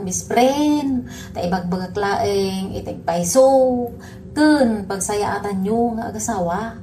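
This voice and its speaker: A woman's voice: medium pitch, warm tone, a little hiss or hum, natural voice, low-quality recording. A woman with a Filipino accent playing a blunt best friend who chides and gives advice in a comedy radio drama. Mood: advising. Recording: music behind the voice.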